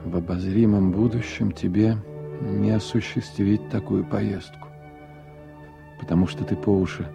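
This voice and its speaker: A man reading scripted literary narration for a radio play, measured and with deliberate pauses. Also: deep voice, creaky. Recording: dull old radio recording, faint music. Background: faint music.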